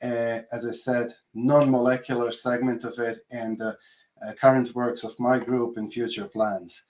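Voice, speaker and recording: A man with a Bosnian accent giving an informal research presentation over a video call, speaking in a hesitant, halting way with many filled pauses. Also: mid-range voice, flat delivery, darkish tone, rough recording. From Bosnia and Herzegovina. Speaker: postdoctoral researcher giving a talk. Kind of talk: informal online conference presentation.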